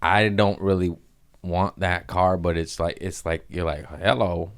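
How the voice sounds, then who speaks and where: A man's voice: low voice, fairly even intonation, unhurried, clear, resonant tone. A man hosting a podcast in casual comedic chat, talking in a slangy, profane, jokey way. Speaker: podcast host.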